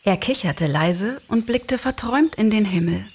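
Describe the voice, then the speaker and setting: A woman narrator with a husky, breathy voice at a medium pitch. She reads a story expressively, as in an audiobook. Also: animated, unhurried, a little mellow. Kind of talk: expressive scripted story reading.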